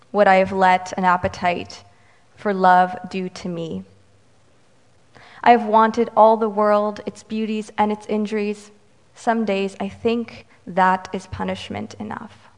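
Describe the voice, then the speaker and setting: A Romanian-American woman whose first language is Romanian, reading her poems slowly and measuredly and adding brisk, wry remarks between them. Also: medium pitch. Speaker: poet. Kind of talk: poetry reading with commentary. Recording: reverberant hall.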